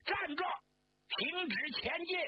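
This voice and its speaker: A man voicing an alert, stern battalion commander on guard, calling out formally in a told war story. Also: measured pace. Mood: stern challenge. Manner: high, barked, formal shouts. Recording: dull lo-fi sound, faint noise.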